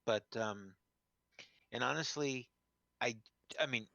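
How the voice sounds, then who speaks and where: A man chatting casually and a little haltingly over an online voice chat. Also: medium pitch, gravelly, pushed and bright, dry recording. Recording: dull lo-fi voice chat.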